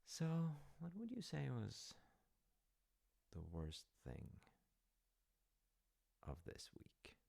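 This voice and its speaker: A man playing a gentle, attentive, slightly awkward middle-aged dad in a soft-spoken, wholesome audio roleplay, hesitant and reassuring as he talks. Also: wildly swooping pitch, heavily acted. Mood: gently probing. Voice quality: gravelly, low. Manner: hesitant, reassuring, thoughtful with pauses.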